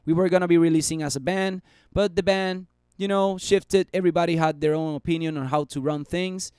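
30s guy doing a live stock stream, kinda high voice, chatty, voice a bit worn out, dry close mic. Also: steady tone, fairly quick, darkish tone, clear, resonant tone, dry recording. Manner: upbeat, chatty, reads viewer comments aloud. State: losing his voice. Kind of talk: live stream chart commentary and chat replies. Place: small room at a desk.

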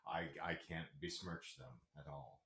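A man's voice: extremely low pitch, fairly even intonation, moderate pace, a crowd in the background. A man bantering as a podcast co-host in a wry, wordy, mock-grandiose way, recorded with a roomy echo. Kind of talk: casual banter. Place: small home studio room. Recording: roomy, echoing sound.

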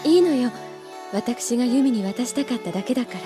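A woman's voice: fairly high pitch, animated, brisk, mellow, faint music behind, lightly acted. A woman playing an elegant, composed older schoolgirl with refined, ladylike poise in an anime audio drama. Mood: tender.

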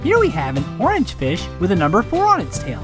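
A woman's voice: on the low side, huge pitch swings, fairly quick, over music. A woman voicing a cheerful, curious young girl piglet in children's toy play, exclamatory and wide-eyed. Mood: delighted, curious.

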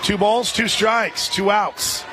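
Higher-pitched man calling softball over crowd noise. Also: steady tone, takes it slowly. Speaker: radio play-by-play announcer. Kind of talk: live softball play-by-play commentary. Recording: crowd noise and music behind. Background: ballpark crowd and music.

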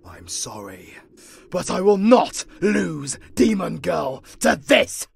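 A man playing a grim, protective demon hunter in a horror audio drama, grave and declamatory with a gravelly voice. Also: sits high, very animated, takes it slowly, no echo, clean studio sound, exaggerated voice. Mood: grim resolve.

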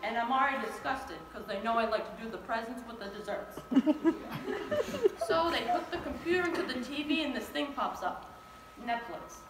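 A woman's voice: fairly high pitch, lively intonation, moderate pace, close to an everyday voice. A young woman performing a comedic stage monologue as an exasperated old grandmother, dramatic and complaining. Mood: indignant. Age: young adult. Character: feisty, exasperated old Italian American grandmother from Brooklyn. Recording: distant, echoey, noisy hall recording.

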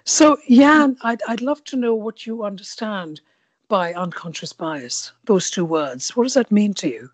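A woman's voice: mid-range voice, animated, unhurried. A woman from India hosting an interview podcast, asking curious follow-up questions and often repeating words as she goes. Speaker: podcast host.

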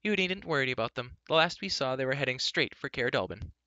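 A man's voice: mid-range voice, lively intonation, brisk, pushed and bright, dry recording, highs cut off, pitched above the performer's own voice. A man voicing a headstrong young princess in a story, chattering on in a breezy, insistent, argumentative way. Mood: breezy, defensive.

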